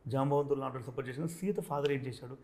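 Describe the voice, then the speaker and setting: A man's voice: mid-range voice, fairly even intonation, very fast, a little mellow. A man interviewed as a film director, explaining his views earnestly and philosophically with some English mixed in.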